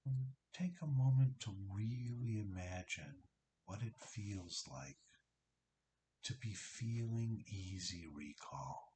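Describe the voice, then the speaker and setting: A man leading a guided imagery relaxation as a practitioner, speaking calmly and soothingly, with soft, breathy phrasing and pauses. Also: on the low side. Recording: clear background hiss with clicks.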